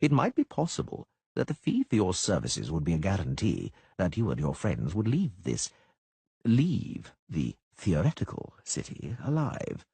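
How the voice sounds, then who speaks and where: Man reading as a calm, sinister minister. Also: sits low, creaky, slightly rough, no echo, exaggerated voice. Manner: quiet, measured, dispassionate. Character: calm, menacing middle-aged male minister.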